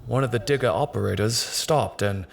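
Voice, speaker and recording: A grave construction company man in an audio drama. His voice sits low with a creaky, gravelly edge, and he recounts things in a measured, hesitant way. There is faint noise behind him. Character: grave, hesitant male construction company worker recalling a grim find. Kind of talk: naturalistic audio drama dialogue, recounting in an interview.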